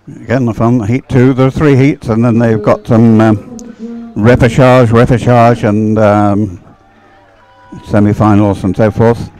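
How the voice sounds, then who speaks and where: A man's voice: mid-range voice, steady tone, unhurried, dark tone, soft background music, low-quality recording. A man giving casual live commentary as a race commentator.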